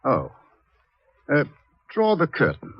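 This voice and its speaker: A man's voice: fairly high pitch, wildly swooping pitch, slow, breathy edge, no echo, heavily acted. An actor playing a scrawny, eccentric male scientist who lectures wryly and theatrically in a 1950s radio drama. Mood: testing, insistent. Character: scrawny, wry male scientist, eccentric professor foretelling doom. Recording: dull vintage 1950s radio recording.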